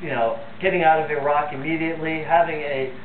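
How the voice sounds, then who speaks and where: A man's voice: a little high, steady tone, quick pace. A man hosting a live political phone-in show, speaking off the cuff in an earnest, opinionated way. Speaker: host of a call-in political talk show. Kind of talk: unscripted live phone-in talk. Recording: phone-like, roomy, distant, noisy TV audio.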